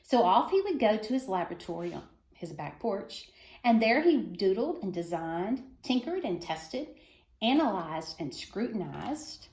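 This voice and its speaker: A woman's voice: medium pitch, big pitch swings, measured pace, darkish tone, echoey room, rough recording. A woman reading a children's story aloud for schoolchildren, phrasing expressively and stressing key words. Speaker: reader of a children's book.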